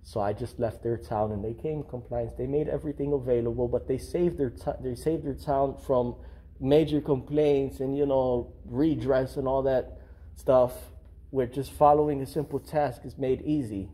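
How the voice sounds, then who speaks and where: A man's voice: medium pitch, fairly even intonation, normal speed, mellow. A man auditing public records, chatting in an upbeat, friendly way into a body-worn camera in a large office lobby. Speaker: records auditor filming a town hall visit. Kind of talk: casual on-camera chat. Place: large open-plan office lobby indoors, then outdoors.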